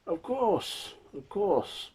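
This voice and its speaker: Storybook grandfather, a man acting: old, lonely and gentle, higher husky voice, earnest and kind. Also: very animated, very slow, exaggerated voice. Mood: anxious. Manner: kindly, earnest.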